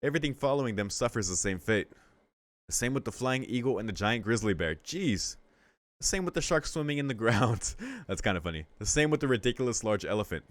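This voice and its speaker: A man's voice: mid-range voice, expressive, a little fast, no echo. A young man reading a visual novel aloud and reacting in a loose, slangy way. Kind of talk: casual reaction commentary.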